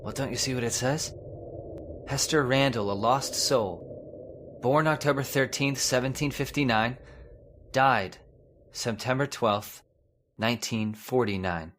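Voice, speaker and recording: A man's voice: mid-range voice, a little slow, long pauses, background noise, natural voice. A man playing an anguished, devoted husband, earnest and pleading, in an old-time radio drama. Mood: grieving, shaken.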